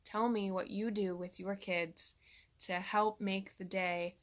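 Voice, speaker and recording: A woman's voice: medium pitch, flat delivery, takes it slowly. A woman in her thirties, a mom vlogger, talking straight to camera in a candid, exasperated way. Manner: exasperated, candid venting to viewers. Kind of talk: direct-to-camera vlog monologue.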